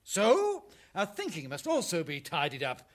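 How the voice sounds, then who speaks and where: A high-pitched, smug politician. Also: male voice, wildly swooping pitch, measured pace, heavily acted. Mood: self-satisfied. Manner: pompous, declamatory, oily. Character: smug, pompous male prime minister of authoritarian regime. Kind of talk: satirical radio drama acting.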